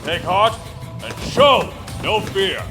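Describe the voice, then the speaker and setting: An exalted angel , voiced by a man, proclaims in a high voice that soars and dips. The delivery is slow and grand, with music clearly behind it. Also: sing-song, heavily acted. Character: exalted angelic herald of the Creator. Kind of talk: grand fantasy audio drama proclamation.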